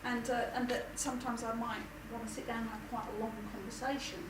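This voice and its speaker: Woman panelist, earnest and searching, slightly high voice, distant noisy hall recording. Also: flat delivery, quick pace. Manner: earnest, repeats words while thinking aloud. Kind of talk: panel discussion. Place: lecture hall at an arts venue.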